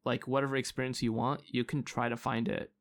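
A man's voice: medium pitch, little pitch movement, a little fast. A man co-hosting a podcast in casual chat, hesitant with many fillers and restarts. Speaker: podcast co-host.

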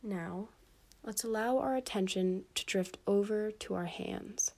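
A woman with a mid-range voice guides a bedtime meditation. She reads gently and soothingly, in short measured phrases. Pauses separate her instructions. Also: medium pitch. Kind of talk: guided sleep meditation, scripted.

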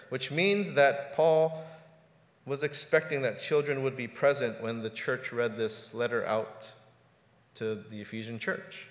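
A pastor in his forties preaching a sermon in a casual, conversational way, often repeating words as he talks. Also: medium pitch. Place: church sanctuary. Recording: roomy hall reverb.

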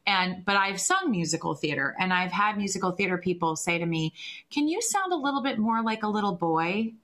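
Bright-voiced woman singing teacher. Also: medium pitch, a little fast. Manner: animated, emphatic, opinionated. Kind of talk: conversational interview.

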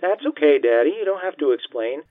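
A man voicing a reticent, forgiving young daughter with a soft, hesitant manner in audiobook dialogue, through a telephone filter. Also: fairly high pitch, big pitch swings, fairly quick, no echo, pitched above the performer's own voice. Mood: shy, forgiving. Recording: thin, band-limited phone-line sound.

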